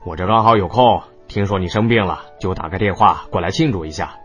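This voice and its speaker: A man's voice: deep voice, fairly quick, fairly bright tone, highs cut off, soft background music. An actor playing a cocky, mocking young man, a brash older brother in a romance audiobook, with a drawling, needling delivery. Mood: impatient mockery. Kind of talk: audiobook character dialogue.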